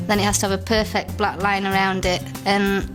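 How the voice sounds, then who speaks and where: A woman's voice: medium pitch, level tone, a little fast, over music. A young woman in her twenties from London, a recent art graduate, talking casually to camera. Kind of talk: interview to camera.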